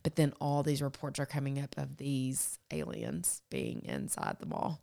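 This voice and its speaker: A woman in her thirties, a podcast co-host, chatting casually and telling stories with animated, laugh-filled energy. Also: very deep voice, normal speed, gravelly. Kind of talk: casual chat.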